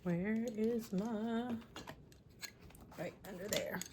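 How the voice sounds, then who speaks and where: Raspy-voiced woman chatting through a craft tutorial. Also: medium pitch, very slow. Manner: chatty, apologetic asides while working. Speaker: scrapbooking tutorial host. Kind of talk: casual crafting tutorial talk. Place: home craft room. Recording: faint room noise from fan. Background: fan running.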